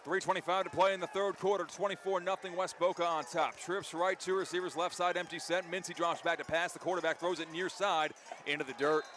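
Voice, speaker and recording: A man doing energetic live radio play-by-play commentary of a football game. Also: sits high, brisk, slight background noise. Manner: energetic, vivid play-by-play delivery. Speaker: play-by-play sports announcer.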